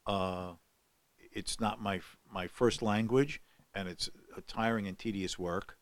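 A man's voice: lowish voice, fairly even intonation, a little slow, no echo. Older man rambling, dry close sound. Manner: rambling, many fillers and restarts. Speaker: guest scholar. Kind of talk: podcast conversation.

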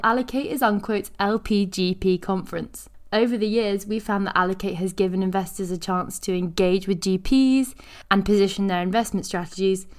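Female podcast host, mid-pitched voice. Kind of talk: podcast interview, scripted introduction.

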